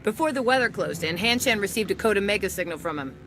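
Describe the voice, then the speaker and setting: A woman's voice: medium pitch, expressive, a bit bright, faint music behind. Wry, businesslike undercover agent, a woman. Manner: brisk, matter-of-fact, dryly sardonic. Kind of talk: video game dialogue acting.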